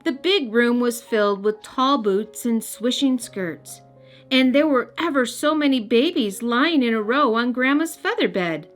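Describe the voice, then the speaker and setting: A woman's voice: fairly high pitch, lively intonation, measured pace. A woman hosting and narrating a children's read-aloud, speaking expressively and directly to young listeners. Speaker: host and storybook reader. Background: music.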